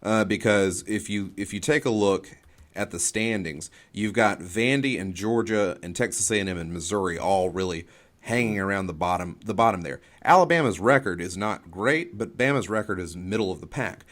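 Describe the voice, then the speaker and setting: A man's voice: fairly low pitch, moderate pace, a bit bright. A man giving off-the-cuff sports analysis in an explanatory way, often restarting and repeating his phrases. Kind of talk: off-the-cuff college basketball analysis.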